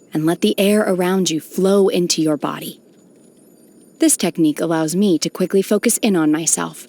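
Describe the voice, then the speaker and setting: An actress playing a solemn, aloof young woman trained by immortals in a video game, speaking in a formal, measured, restrained way with a touch of melancholy. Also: low-pitched, a little mellow. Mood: serene, instructive. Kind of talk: scripted video game character voice lines.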